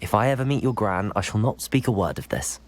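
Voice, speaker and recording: A voice actor playing a calm, polite young wizard student, in video game dialogue. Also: mid-range voice, fast, lightly acted. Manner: calm, polite, dryly witty.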